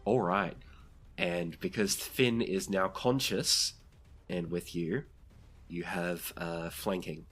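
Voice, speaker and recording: Low-voiced man running a tabletop game. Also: fairly even intonation, slow, fairly bright tone, husky, soft background music. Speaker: game master. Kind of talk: narrating and refereeing a tabletop game.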